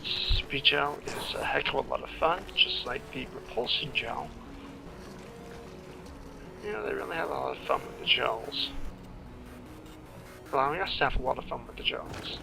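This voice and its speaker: Gravelly man giving rambling game commentary. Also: medium pitch, lively intonation, low-quality recording. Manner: rambling, halting, trails off mid-thought. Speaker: gameplay commentator, screenwriter. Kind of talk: casual playthrough commentary. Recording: game music behind commentary. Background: video game music.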